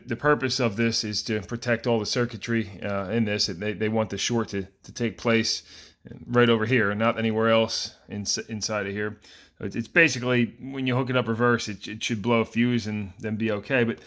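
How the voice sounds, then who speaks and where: A man's voice: lowish voice, fairly even intonation, moderate pace, a bit bright. Casual plainspoken man explaining a repair. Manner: plainspoken, informal. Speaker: host of a DIY repair tutorial. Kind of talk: casual hands-on how-to explanation.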